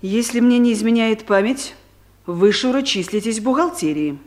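The voice of a commanding woman director played by an actress, pitched high for all her severity. She speaks formally and categorically, in the measured manner of a Soviet radio drama. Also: darkish tone, lightly acted. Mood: cold, reproving. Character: stern, commanding, principled female director of an office. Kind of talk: Soviet radio play acting.

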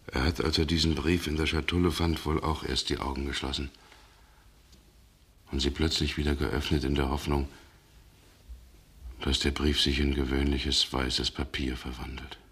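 Brooding gentleman, very low husky voice, grave halting delivery, 1960 radio drama. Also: flat delivery, exaggerated voice. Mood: shaken, solemn. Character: brooding, haunted Russian gentleman recounting past dangers. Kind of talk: 1960s German radio drama acting. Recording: 1960 radio recording, some background noise.

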